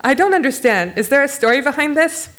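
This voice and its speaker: A tiny elderly woman, blunt and suspicious, speaks in short, flat questions, as a woman reading aloud puts her on. Her voice sits high and firm. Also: a little fast, a little hiss or hum.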